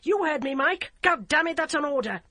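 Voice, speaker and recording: A woman's voice: high-pitched, animated, normal speed, bright, forceful tone, no echo, pitched above the performer's own voice. A woman voicing a ruthless, driven female police chief inspector who barks orders and swears harshly. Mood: commanding.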